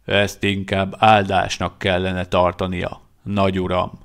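A man voicing a seasoned male vampire who talks slowly, in a measured and dry way. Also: low voice, completely flat delivery, vocal fry, fairly bright tone. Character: seasoned male vampire, gruff but warm-hearted.

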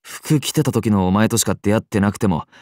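A man's voice: on the low side, brisk, darkish tone, no echo, close to an everyday voice. Man playing a blunt, slangy young warrior. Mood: dry, exasperated. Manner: slangy, dry, muttered retorts. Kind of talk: anime game character acting.

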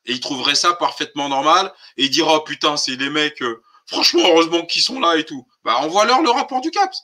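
A man with a very bright, sharp, fairly high voice hosts a political live stream. He speaks colloquially and insistently, driving points home with emphatic rhetorical questions. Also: very animated, quick pace. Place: online group call.

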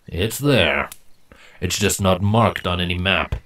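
A man's voice: sits low, a bit bright. A man voicing a brooding, firm male changeling squad leader in a fantasy story. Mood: stern, brooding. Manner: firm, terse, deliberate.

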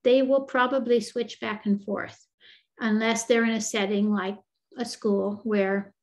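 A woman's voice: on the high side, steady tone, measured pace, darkish tone. Older woman explaining on a video call. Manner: thoughtful, explanatory, with frequent fillers and restarts. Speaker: developmental psychologist guest. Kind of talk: answering questions in a webinar.

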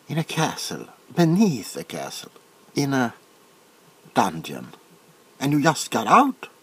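A middle-aged man playing a nervous, bewildered, awkwardly polite doctor in a soft-spoken comedic ASMR roleplay, stammering and repeating himself. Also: mid-range voice, breathy edge, heavily acted. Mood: hesitant, puzzled. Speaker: ASMR performer.

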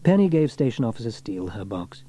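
Lively, mellow male storyteller. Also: mid-range voice, fast, husky. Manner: expressive storytelling. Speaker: audiobook narrator. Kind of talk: scripted children's story narration.

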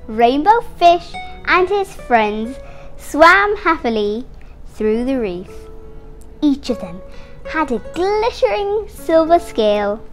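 Young girl reading slowly, high lively voice. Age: child, eight years old. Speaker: young storybook reader. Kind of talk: expressive picture-book read-aloud. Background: instrumental music.

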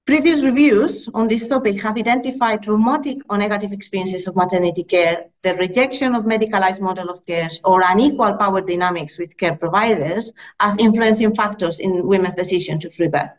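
A woman in her thirties with a Spanish accent, a midwifery lecturer presenting her research over a video call in an earnest, measured way with fillers and self-corrections. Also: medium pitch, rough recording. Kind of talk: conference presentation. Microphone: laptop mic on a video call.

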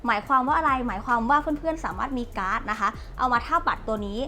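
Polite, fairly high-voiced woman reviewer. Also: quick pace. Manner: polite, explanatory, steady walk-through. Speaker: product reviewer. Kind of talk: unboxing product review. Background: faint background music.

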